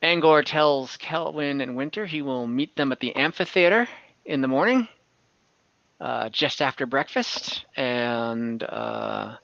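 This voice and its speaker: A man running an online tabletop fantasy game as game master, narrating off the cuff in halting fragments. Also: medium pitch, huge pitch swings, vocal fry, a bit bright, no room sound. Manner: halting, pieces scenes together in fragments. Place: indoors at home, on a group video call. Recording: slightly dull video-call audio.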